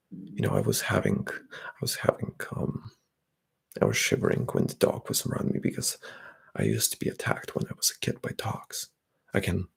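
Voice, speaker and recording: Gravelly, breathy whispering man. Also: fairly low pitch. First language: Bulgarian. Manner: soft-spoken, drifting into whispers. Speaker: ASMR streamer. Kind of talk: ASMR livestream chat, reading comments.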